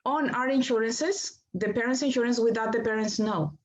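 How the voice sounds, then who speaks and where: A woman with a Spanish accent, a native Spanish speaker, calling in to a talk show and speaking haltingly with many restarts. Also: on the high side. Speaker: caller. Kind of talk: casual call-in chat. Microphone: phone line. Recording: dull lo-fi phone call.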